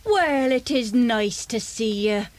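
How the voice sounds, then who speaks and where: A fond chatty mum on a kids story tape, high and lively. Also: female voice, takes it slowly. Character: fond, chatty, protective mother living in a caravan. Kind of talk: children's audiobook dramatization.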